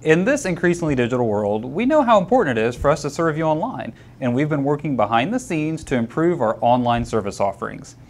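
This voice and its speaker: A man reads scripted news segments as a co-host, with a very lively, swinging intonation. He slips into dry humor during the banter. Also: on the high side, a little fast, hardly any pauses. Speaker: show co-host.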